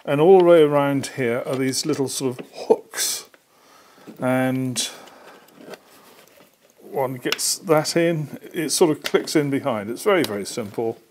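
A British man in his sixties presents a practical workshop tutorial. His voice sits at an average pitch, and he explains each step patiently. There is noticeable background noise with small clicks in the recording. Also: a little slow, darkish tone. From Britain. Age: 60s. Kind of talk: hands-on assembly tutorial. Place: indoor workshop.

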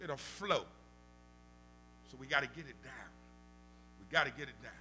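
An older man with a Southern US accent, a pastor teaching Bible study in a church sanctuary, preaching into a stand mic with call-and-response and rising shouts. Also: mid-range voice, expressive, normal speed, faint music behind, rough recording. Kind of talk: animated preaching. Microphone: stand mic at the pulpit.

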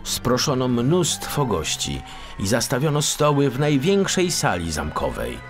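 A man narrating a children's fairy tale as an expressive audiobook storyteller. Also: mid-range voice, unhurried, faint music behind.

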